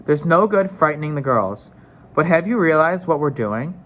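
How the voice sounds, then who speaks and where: A man's voice: on the high side, expressive, normal speed, fairly bright tone, a little hiss or hum. A man voicing a sullen, suspicious, argumentative schoolboy in a storybook, with pointed, challenging questions.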